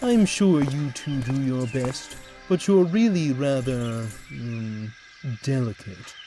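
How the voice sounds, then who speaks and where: A man's voice: medium pitch, expressive, takes it slowly, warm tone. A man voicing a pompous, haughty male tank engine who talks in a sniffy, grand way. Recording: music playing behind.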